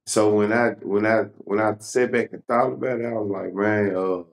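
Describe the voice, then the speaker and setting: A man's voice: fairly low pitch, steady tone, moderate pace. A man hosting a casual interview as a blogger, talking in a slangy, conversational and reflective way.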